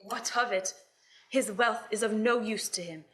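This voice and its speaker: A woman's voice: mid-range voice, moderate pace, fairly bright tone, a little hiss or hum, lightly acted, rough recording. A woman playing a cheerful, forgiving young nephew hosting Christmas dinner in a radio play, genial and earnest.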